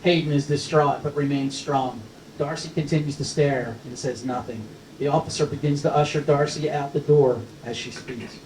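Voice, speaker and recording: A man's voice: on the high side, fairly even intonation, moderate pace, darkish tone. A man reading stage directions as scripted narration at a screenplay table read. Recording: roomy, distant mic, faint noise.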